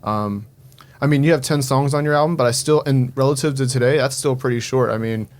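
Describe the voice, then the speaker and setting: A twenty-eight-year-old man hosting a podcast, talking in a thoughtful, steady way, full of ums and "I mean". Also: medium pitch, a little fast. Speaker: podcast host. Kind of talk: casual podcast chat.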